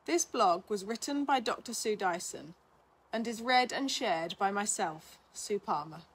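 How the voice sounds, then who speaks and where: A woman reading a scripted article aloud in a measured, instructional manner. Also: medium pitch, lively intonation, breathy edge. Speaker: reader of a blog, physiotherapist.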